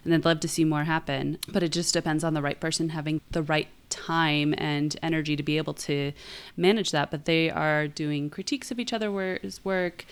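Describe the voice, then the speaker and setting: A woman's voice: low-pitched, fairly even intonation, normal speed, clean tone. A woman co-hosting a writing podcast in a casual, conversational style. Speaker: podcast co-host, writing teacher.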